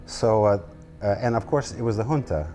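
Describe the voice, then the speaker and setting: A man in his sixties from the United States, an artist telling his family story in an interview at his home, relaxed and wry. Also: fairly low pitch, moderate pace. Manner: relaxed, wry, self-deprecating. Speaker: guest artist.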